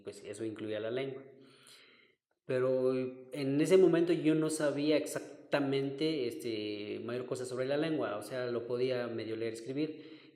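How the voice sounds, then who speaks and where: A man in his forties whose first language is Q'anjob'al, a linguistics professor calmly recalling his path in an interview. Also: medium pitch. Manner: thoughtful, unhurried, searching for words. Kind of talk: interview answers, personal recollection. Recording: roomy recording with long reverb.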